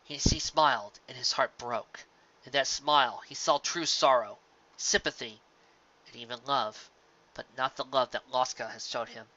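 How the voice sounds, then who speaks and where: A man narrating scripted fiction in a dramatic, emphatic storytelling manner that moves between loud and hushed phrases. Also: medium pitch, steady tone, moderate pace, lo-fi sound.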